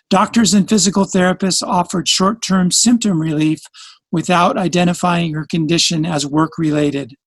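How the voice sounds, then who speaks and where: A man's voice: sits high, dry recording. A man hosting a podcast from a studio, reading a polished introduction and then chatting with enthusiasm. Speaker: podcast host. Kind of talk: scripted intro then interview chat. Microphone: studio mic, dry sound.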